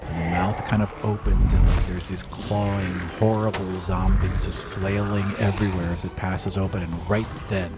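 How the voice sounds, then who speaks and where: A man narrating and running a tabletop game as game master in a relaxed storytelling manner. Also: low-pitched, normal speed, few pauses. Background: music.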